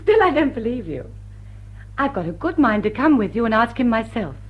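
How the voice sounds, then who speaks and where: Witty lady crook in love, upper-class English accent, soft husky tone, muffled vintage radio drama, arch and teasing. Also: fairly high pitch, very animated, fairly quick. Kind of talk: British radio play acting. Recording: dull old radio broadcast recording.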